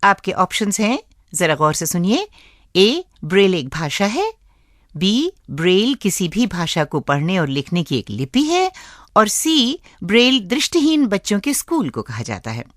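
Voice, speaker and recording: A woman with an Indian accent presenting a scripted radio show, addressing listeners in a deliberate way as friends. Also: mid-range voice, big pitch swings, no room sound, clean studio sound. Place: studio.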